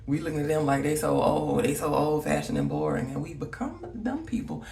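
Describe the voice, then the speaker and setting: A woman's voice: low-pitched, sing-song, brisk, vocal fry, dark tone, recorded from a distance. A woman from Alabama with a Southern accent, chatting casually and rambling as she talks straight to her viewers. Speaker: vlogger. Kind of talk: casual reminiscing chat to viewers. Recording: roomy echo, voice slightly off-mic.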